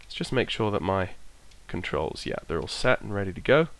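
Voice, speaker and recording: A man with a bit of a cold, his voice croaky, casually talking himself through an aircraft checklist and trailing off as he goes. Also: sits low, lively intonation, a little fast, fairly bright tone. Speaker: flight sim streamer. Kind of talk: casual narrated walkthrough.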